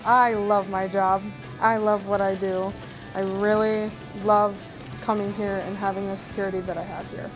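A woman's voice: mid-range voice, little pitch movement, normal speed, poor audio. Sincere young woman graduate. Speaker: graduate, welder. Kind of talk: promotional interview. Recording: music bed underneath.

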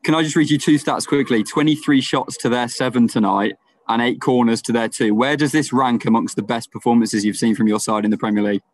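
A male reporter asking questions at a post-match press conference in a brisk, friendly way. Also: mid-range voice.

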